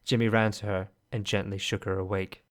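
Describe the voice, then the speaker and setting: Man, scripted story narration, lowish pitch, smooth clear tone, amateur audio drama narrator. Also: level tone, a little fast.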